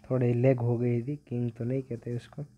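A man's voice: medium pitch, little pitch movement, moderate pace. A teenage male giving casual gameplay commentary as a gaming video creator. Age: teenager, about 15. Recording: dull, lo-fi phone-like audio.

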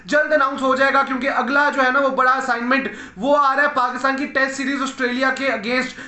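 A Pakistani man in his thirties with an Urdu accent talks straight to the camera in a very high, bright, forceful voice. He delivers cricket news urgently and keeps firing rhetorical questions at his viewers. The sound rings in a roomy, echoey indoor space. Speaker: cricket commentator. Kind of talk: breaking-news video commentary. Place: indoor room with curtains. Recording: echoey room.

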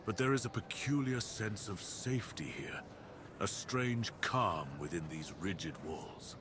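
A man playing a brooding, cursed dire wolf master in an ASMR roleplay, speaking in a solemn, deliberate, theatrical way with formal wording. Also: on the low side, expressive, noisy background. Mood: solemn, reassuring. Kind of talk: ASMR roleplay, dramatic monologue.

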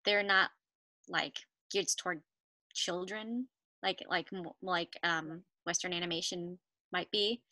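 Bright, thoughtful young woman on a call. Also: on the low side, steady tone, slow, no room sound. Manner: thoughtful, hesitant with many "um"s. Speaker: guest voice actor. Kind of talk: casual video-call interview chat. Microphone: video call.

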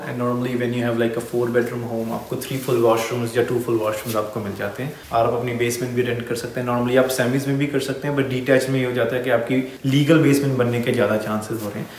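A man, around his thirties, explaining things informally as a property guide, switching between Hindi and English. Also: mid-range voice. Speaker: real estate agent guest. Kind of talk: informal explaining on a house tour. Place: large house interior, indoors. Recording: echoey empty house, some background noise.

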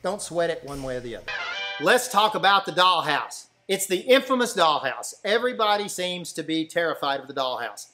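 A man's voice: on the high side, big pitch swings, fairly quick, pushed and bright, soft background music. A man, giving plain-spoken, folksy exam advice straight to camera from a training room. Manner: folksy, plain-spoken, repeats short commands. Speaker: master plumber teaching exam prep. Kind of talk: direct to-camera instruction. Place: indoor training room in front of a whiteboard.

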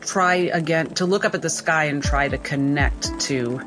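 A woman playing a tabletop game, roleplaying and narrating with deliberate pauses between phrases. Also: low-pitched, over music.

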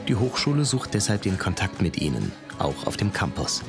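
Very low-voiced male documentary narrator. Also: sing-song, normal speed, vocal fry. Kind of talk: scripted narration. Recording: music behind the voice. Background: background music.

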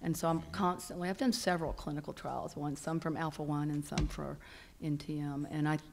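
A woman's voice: low-pitched, little pitch movement, a little fast, gravelly, darkish tone, a little hiss or hum. A middle-aged woman speaking as a patient on a conference panel, earnest and hesitant with frequent ums, into a stand microphone. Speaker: patient panelist and support group leader. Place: conference hall stage panel.

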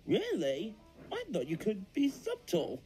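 A woman's voice: medium pitch, huge pitch swings, unhurried, warm tone, faint music behind. A woman voicing an eager, naive young male diesel engine, the sidekick in a cartoon. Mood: doubtful.

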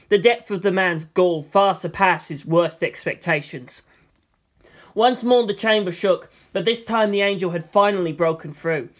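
Dramatic audiobook narrator, medium pitch. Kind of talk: dramatic scripted story narration.

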